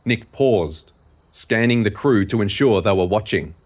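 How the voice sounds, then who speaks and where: A man's voice: fairly low pitch, expressive, measured pace, a little mellow. A man narrating scripted fiction for an audio drama in a dramatic storytelling style.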